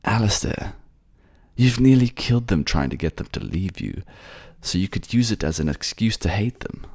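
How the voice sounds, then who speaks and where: A man's voice: lowish voice, animated, normal speed, darkish tone, breathy edge, voice pushed up. A man voicing a protective, affectionate prince in an audio romance drama, with theatrical, courtly phrasing and a put-on accent. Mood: reproachful, firm. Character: protective, tender prince soothing his beloved, scolding rivals.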